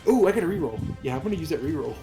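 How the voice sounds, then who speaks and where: A man's voice: fairly high pitch, big pitch swings, very fast, music in the background. A man chatting casually as a player in a tabletop roleplaying game.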